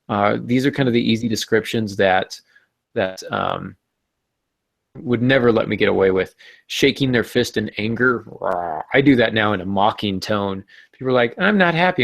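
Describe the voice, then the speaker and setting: A man's voice: medium pitch, creaky, no echo. A man co-hosting a podcast, chatting casually about writing craft and jokingly acting out quotes. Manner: jokey, acts out mock quotes. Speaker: podcast co-host. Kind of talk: casual writing-advice discussion.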